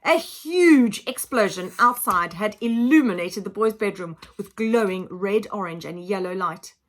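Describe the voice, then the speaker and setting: A woman narrates a children's storybook at a medium pitch. She reads with dramatic emphasis and expressive phrasing, in the manner of a teacher reading aloud. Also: unhurried. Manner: dramatic, emphatic, acts out sound effects.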